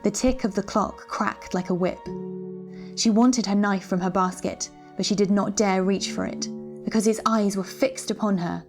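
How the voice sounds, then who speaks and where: A woman's voice: mid-range voice, fairly even intonation, normal speed, a little mellow, soft background music. A woman reading literary fiction aloud as a dramatic storyteller.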